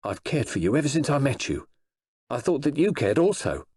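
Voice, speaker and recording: Man acting out a jealous young man, agitated, higher-pitched. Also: sing-song, brisk, a little mellow, husky. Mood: wounded pleading. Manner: heated, pleading. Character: jealous, agitated young male clerk, rejected in love.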